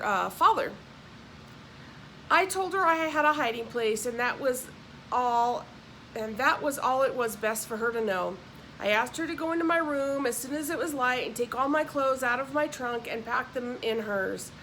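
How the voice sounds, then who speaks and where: A woman narrates a book in a clearly high voice. Her reading is expressive and slips into casual explanation. The recording has a light layer of noise. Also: low-quality recording. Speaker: audiobook narrator.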